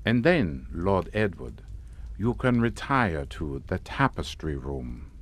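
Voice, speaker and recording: An actor playing a suave, sinister aristocratic count in a radio suspense drama, speaking in a formal, courtly, deliberate way. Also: male voice, sits low, very animated, exaggerated voice. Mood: commanding, smooth.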